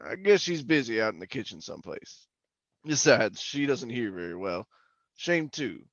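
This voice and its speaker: An actor playing a garrulous middle-aged former oil-rig roughneck, telling his tale in a rambling, folksy radio drama manner. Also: medium pitch, big pitch swings, moderate pace, a bit bright, no echo, highs cut off. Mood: folksy, chatty. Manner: rambling, folksy, colloquial storytelling. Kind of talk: old-time radio drama monologue and dialogue.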